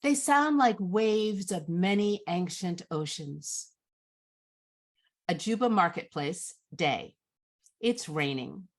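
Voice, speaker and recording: A woman reading screenplay directions as scripted narration in a measured, descriptive manner. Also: fairly low pitch, lively intonation.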